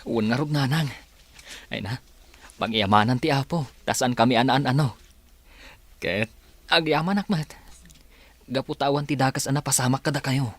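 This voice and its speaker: A man's voice: mid-range voice, moderate pace, long pauses, dry recording, close to an everyday voice. A man playing an earnest, dejected young village labourer in a radio drama.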